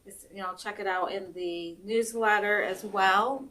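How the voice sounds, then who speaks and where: A woman hosting a casual interview, giving announcements and encouraging her guest with quick back-channel replies. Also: medium pitch, fairly even intonation, fairly bright tone, distant mic, slight background noise. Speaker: host. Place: senior center room.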